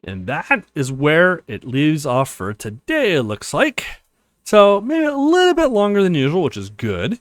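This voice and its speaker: A man's voice: on the high side, wildly swooping pitch, measured pace, no echo, clean studio sound. A man hosting a let's play, reading a visual novel aloud with casual commentary.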